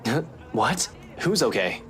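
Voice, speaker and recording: A man's voice: mid-range voice, sing-song, slow pace, over music. A man playing a brusque, teasing young man in a visual novel, with a blunt and casual delivery. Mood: puzzled, defensive.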